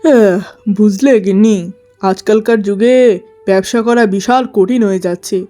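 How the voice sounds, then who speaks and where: A woman's voice: medium pitch, normal speed, dark tone, faint music behind, lightly acted. A woman voicing a domineering, fault-finding merchant husband in a cartoon story, stern and complaining. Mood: weary, complaining. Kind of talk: melodramatic cartoon story acting.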